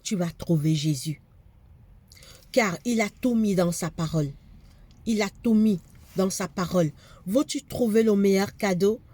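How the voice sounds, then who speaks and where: A woman's voice: mid-range voice, unhurried, pauses often, warm tone, no echo. A woman with a cold preaching a fervent sermon, repeating phrases for emphasis. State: has a cold, congested.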